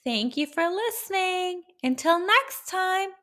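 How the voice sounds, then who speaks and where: A woman narrating a children's storybook aloud, expressively and with deliberate dramatic emphasis. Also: very high voice, expressive, sharp tone.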